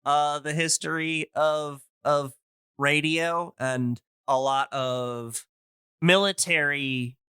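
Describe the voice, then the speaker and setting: A man with a bright, smooth voice in a dry, close recording. He talks casually, as an enthusiast explaining on a podcast. His delivery is halting and rambling, padded with fillers. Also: on the high side, steady tone, dry recording. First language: English. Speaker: podcast speaker on old-time radio.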